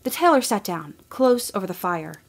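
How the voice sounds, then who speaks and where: A woman narrating a storybook audio drama in a gentle, measured way. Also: mid-range voice, sing-song, creaky.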